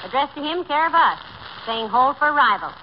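On a dull, hissy old radio recording, a patient, skeptical wife. Her high voice delivers dry, gently chiding lines in the manner of 1940s radio comedy. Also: animated, fairly quick. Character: patient, drily skeptical wife, middle-aged. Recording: muffled, noisy vintage radio recording.

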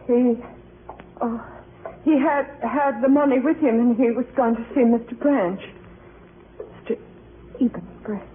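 A woman's voice: a little high, natural voice. Distraught middle-aged radio wife , female actor: timid, faltering delivery, thin phone-like vintage sound with slight noise. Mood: grieving, halting. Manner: halting, faltering, repetitive. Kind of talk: 1950s radio drama acting. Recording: tinny old radio recording, faint noise.

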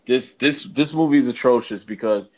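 A man's voice: medium pitch, normal speed, darkish tone. A man co-hosting a podcast in casual chat, talking in a slangy, emphatic, rambling way. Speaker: podcast co-host. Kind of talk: casual chat ranking bad video game movies.

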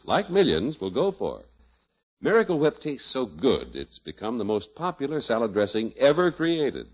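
A man's voice: lowish voice, husky. An American man announcing from a script in polished 1950s radio style. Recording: muffled old radio broadcast, music behind. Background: music.

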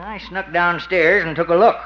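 An actor playing a nosy old horse doctor uncle who teases and mocks, in a 1950s radio comedy. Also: male voice, high-pitched, fairly even intonation, moderate pace, close to an everyday voice. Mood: teasing. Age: older. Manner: needling, mocking. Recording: echoey, dull old radio with audience.

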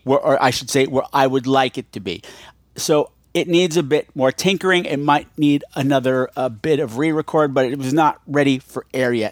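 A man hosting a horror podcast in a chatty, campy way, with theatrical drawn-out emphasis. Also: medium pitch, no room sound. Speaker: podcast host.

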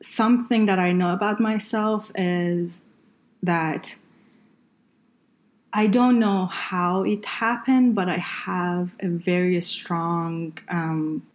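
A woman from Iran, Farsi her first language, a guest in a casual podcast interview, speaking reflectively with hesitations and soft laughs. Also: fairly low pitch, steady tone, slow. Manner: reflective, hesitant with many fillers, laughs softly. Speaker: guest engineer.

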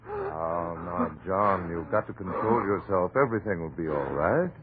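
An actor playing an authoritative, reassuring family doctor in a 1930s radio drama, measured and admonishing. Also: male voice, low-pitched, expressive, phone-quality sound. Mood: firm, admonishing. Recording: faintly noisy, band-limited 1930s radio recording.

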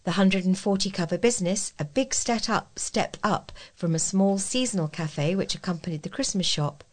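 A woman's voice: mid-range voice, normal speed, darkish tone. A woman reading scripted local news as a volunteer reader.

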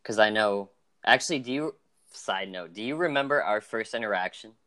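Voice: medium pitch, expressive, moderate pace, fairly bright tone. Podcast host, 20s; casual, teasing, friendly interviewer. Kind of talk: casual chat.

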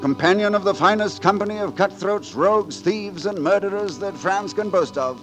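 An actor playing a gallant, roguish gutter poet and leader of rabble in a theatrical, declamatory 1940s radio drama style. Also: male voice, high voice, moderate pace, lightly acted. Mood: tender, rueful. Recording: 1940s radio broadcast with music behind.

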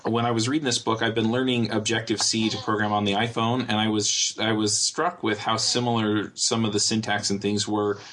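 Man, podcast host, low-ish voice, rambling casual chat, lots of uhs. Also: fairly quick, hardly any pauses. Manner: rambling, relaxed, with filler words.

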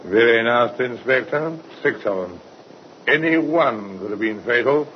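An actor playing a matter-of-fact, clinical doctor in a 1950s radio drama. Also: male voice, mid-range voice, lively intonation, measured pace, lightly acted. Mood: grave, clinical. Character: male doctor, matter-of-fact examiner of wounds. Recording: muffled old radio recording, faint noise.

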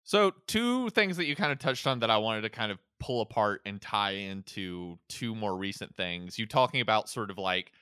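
A man hosts a casual discussion podcast in a conversational way. His voice is bright and crisp at a middle pitch, recorded dry with no room sound. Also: lively intonation, normal speed, few pauses, dry recording. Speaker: podcast host.

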